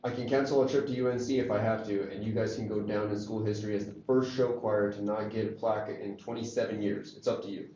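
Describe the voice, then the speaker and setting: A man playing a stern, irritable high school choir director, curt and scolding. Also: steady tone, quick pace, hardly any pauses, dark tone, slight background noise, natural voice. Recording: very echoey, muffled room.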